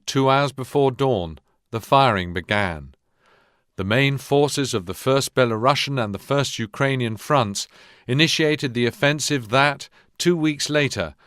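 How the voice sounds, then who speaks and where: An actor as a sober historical narrator, reading formal, measured documentary-style narration for a war video game. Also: male voice, medium pitch, a bit bright, clean tone, dry recording, clean studio sound, lightly acted.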